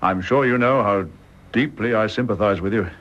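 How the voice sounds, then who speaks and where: A man's voice: lowish voice, moderate pace, a bit bright, lightly acted. A man with a British accent playing a courteous, upright major in a radio drama, polite and formal. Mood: sympathetic. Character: courteous, upright retired police major, protective of family. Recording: dull, muffled radio drama recording.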